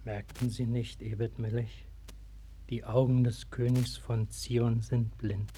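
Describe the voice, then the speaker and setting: A male actor gives us a stern prophet with compassion beneath the severity. He declaims in solemn, measured at a medium pitch. It has the manner of an early sixties radio play on vinyl. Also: level tone, dark tone, slight background noise, natural voice. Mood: grave, sorrowful. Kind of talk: German radio play acting.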